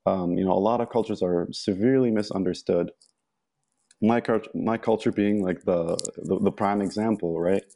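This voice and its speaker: A man's voice: deep voice, fairly even intonation, moderate pace, warm tone. A young man from Egypt, a podcast guest talking casually with many ums and restarts. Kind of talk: casual interview talk.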